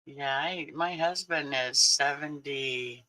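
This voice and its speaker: Slow-talking bright man in his sixties. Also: medium pitch, rough recording. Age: 60s. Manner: chatty, laughs often, answers viewers. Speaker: livestream host. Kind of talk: casual livestream chat.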